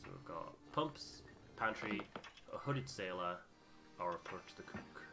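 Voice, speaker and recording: A man's voice: sits low, lively intonation, measured pace, vocal fry, background noise. A man hosting a game playthrough, narrating scenes and explaining the rules.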